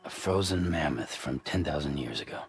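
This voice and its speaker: Super deep gravelly blunt courier in a game, loud music behind. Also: male voice, animated, a little fast, very noisy, exaggerated voice. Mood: skeptical. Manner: curt, blunt, doubting. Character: gruff, terse, skeptical male courier. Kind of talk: video game cutscene acting. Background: loud game score.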